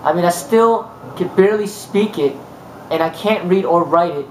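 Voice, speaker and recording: A man's voice: high voice, moderate pace, darkish tone, a little hiss or hum. Rambling man in an echoey room. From United States. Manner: rambling, many fillers and restarts. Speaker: martial arts instructor. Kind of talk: casual monologue.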